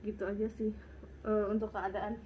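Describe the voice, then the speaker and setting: A woman's voice: medium pitch, little pitch movement, moderate pace, muffled recording, a little hiss or hum. A young woman vlogger with a congested, slightly nasal voice, chatting casually through viewer questions and a little short of breath. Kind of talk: casual Q and A chat.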